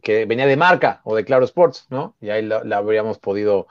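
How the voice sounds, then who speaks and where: A man's voice: on the low side, expressive, fast talker, clear, resonant tone. A man co-hosting a live sports stream, chatting casually and greeting viewers with many fillers. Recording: dry, dull lo-fi sound.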